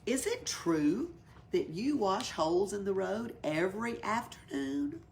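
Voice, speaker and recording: A woman's voice: medium pitch, very animated, measured pace, vocal fry, a little hiss or hum. A woman voicing a male elephant village boss in a storybook, patient and authoritative though weary. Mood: polite, official.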